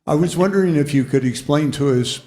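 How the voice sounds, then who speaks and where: A man in the audience asking a question, hesitant and halting. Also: a little high, a little mellow. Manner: hesitant and halting, searching for words. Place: lecture hall audience.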